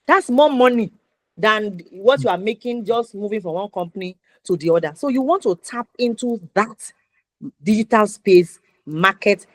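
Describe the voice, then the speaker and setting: A woman's voice: medium pitch, expressive, moderate pace, dry recording. A woman with a Nigerian accent hosting a conversational interview, affirming and summing up points emphatically, with repeated phrases. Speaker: interview host. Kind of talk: conversational interview with summarising advice.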